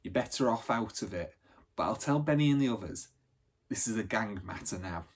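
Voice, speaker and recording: A man's voice: on the low side, animated, normal speed. Man voicing a bossy, impatient street-gang girl. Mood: firm, conspiratorial. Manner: impatient, slangy scolding.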